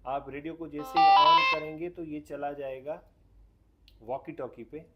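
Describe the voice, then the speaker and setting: A man's voice: on the high side, steady tone, unhurried, very noisy. A man giving a casual hands-on product demonstration, explaining step by step and mixing in English technical terms. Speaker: radio reviewer.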